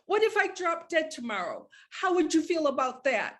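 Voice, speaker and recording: A woman's voice: sits high, sing-song, normal speed, a bit bright. A woman playing a retired professor mother of sixty-five in a screenplay read, conversational, fond and reproachful with pointed questions. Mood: hurt, reproachful. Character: retired professor mother, sixty-five, guilt-tripping, warm but wounded. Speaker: actor in a script read. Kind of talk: live screenplay table read.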